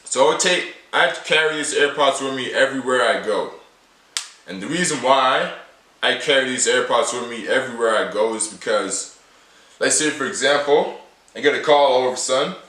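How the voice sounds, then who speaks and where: Rambling teenage guy, bright echoey voice. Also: mid-range voice, moderate pace, distant mic. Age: late teens. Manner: repetitive, rambling, restates points often. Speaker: vlogger showing his everyday carry. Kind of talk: casual to-camera vlog.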